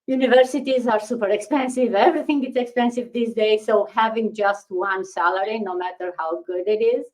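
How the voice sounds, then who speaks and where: A woman's voice: fairly high pitch, a little fast, few pauses, darkish tone, rough recording. A middle-aged woman from Romania speaking casually as an interview guest, telling her own story.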